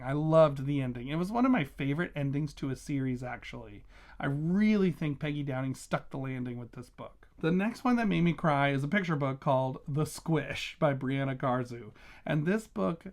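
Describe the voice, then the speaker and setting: A man talking casually as a vlogging reviewer, gushing with emphatic, enthusiastic delivery. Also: on the high side. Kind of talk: casual chatty book review vlog.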